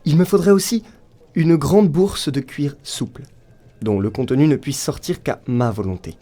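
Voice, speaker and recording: A man's voice: a little high, normal speed, dark tone, natural voice. A man acting male characters of a folk tale in an audio drama, speaking in a theatrical, emphatic manner. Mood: eager, demanding. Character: male folk-tale characters: devil, saint, wily blacksmith.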